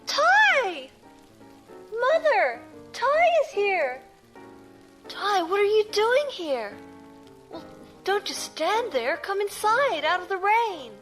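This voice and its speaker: A woman playing an excitable, affectionate young sister of a naval officer in an audio drama. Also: very high voice, slow pace, long pauses, soft background music, full character voice. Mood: excited.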